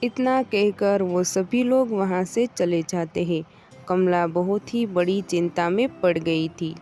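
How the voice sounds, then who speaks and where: A woman's voice: fairly low pitch, slow, warm tone, faint music behind. A woman narrating a scripted moral story for children.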